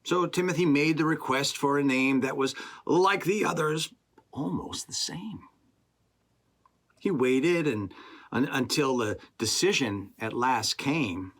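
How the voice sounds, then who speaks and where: Slow, very animated male storyteller. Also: mid-range voice. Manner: deliberate, expressive read-aloud with pauses. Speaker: children's storyteller. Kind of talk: rhyming scripted story narration.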